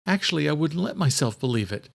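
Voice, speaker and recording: A confident, sharp-minded woman, as a male narrator puts her on in an audiobook. The pitch is lifted, and the delivery is emphatic and insistent. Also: sing-song, fast talker, gravelly. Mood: reluctant, earnest.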